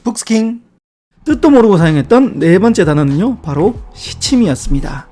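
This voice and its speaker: Brief: man, middle-aged, warm mellow tone, relaxed chatty explainer, soft music bed underneath. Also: high voice, moderate pace. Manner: chatty, reflective asides and small self-deprecating jokes. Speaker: book-review video host. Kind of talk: casual explanatory talk about word origins.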